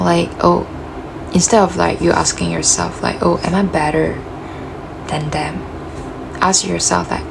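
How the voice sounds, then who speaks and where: A woman with a gravelly voice giving a casual, reflective self-help talk, full of "you know" and "like", her voice cracking as she loses it. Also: on the low side, background noise. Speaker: motivational speaker.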